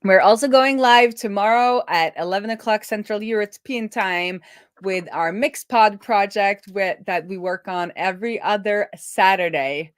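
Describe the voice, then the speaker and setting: Middle-aged female streamer, mid-pitched, informal and conversational, recorded dry. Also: a little slow, no room sound. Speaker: livestream host. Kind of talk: casual livestream chat.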